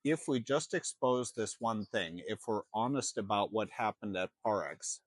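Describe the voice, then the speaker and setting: A man's voice: lowish voice, lively intonation, normal speed, dry recording. A middle-aged American man from Chicago, a former banker, explaining his story earnestly in an interview. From Chicago, USA. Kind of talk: interview and walking tour. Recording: music playing under the voice.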